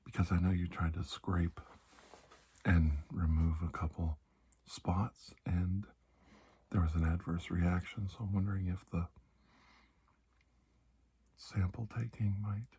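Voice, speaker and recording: A man doing soft-spoken ASMR roleplay as a calm doctor, speaking gently and reassuringly, often dropping into a whisper. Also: sits low, measured pace, pauses often, breathy edge. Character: calm, reassuring male doctor examining a patient. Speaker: ASMR performer playing a doctor.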